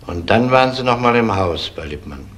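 An actor playing a composed, probing police detective in a German radio crime drama, questioning in a measured, matter-of-fact way. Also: male voice, fairly low pitch, expressive, fairly bright tone. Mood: calm, probing.

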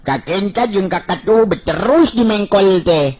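A man voicing a cheeky young martial hero in a radio story, teasing and bantering excitably. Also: high voice, a little slow, voice pushed up. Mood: amused teasing.

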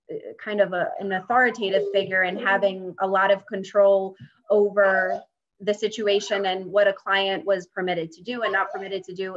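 A woman's voice: medium pitch, fairly bright tone. An American woman in her thirties explaining her work as a panelist on a video call. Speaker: panelist, mediator. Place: indoors, home dining room. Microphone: video call computer microphone. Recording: dull webcam video-call audio.

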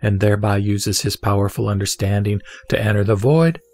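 A man giving a measured, solemn reading of a Buddhist scripture. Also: fairly low pitch, dry recording. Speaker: sutra reciter. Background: soft background music.

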